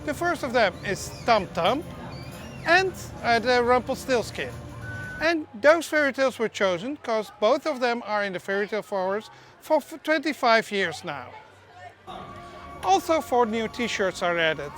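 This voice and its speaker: A woman's voice: on the high side, sing-song, measured pace, a bit bright, soft background music, some chatter behind. Chatty woman. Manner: chatty, opinionated, small slips and restarts. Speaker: theme park news presenter. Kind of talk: casual news vlog narration.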